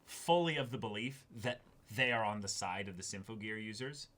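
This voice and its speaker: A man's voice: on the low side, moderate pace, a bit bright. A man co-hosting an anime reaction show, talking casually and often repeating and rephrasing himself as he works through his thoughts. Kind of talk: casual discussion.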